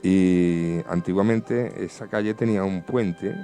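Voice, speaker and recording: A man's voice: low voice, measured pace, dark tone. A man from Seville with an Andalusian accent, hosting a radio show in a casual, chatty style. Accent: Andalusian Spanish. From Seville, Spain. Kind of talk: casual radio presenting and chat. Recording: music clearly under the voice. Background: background music.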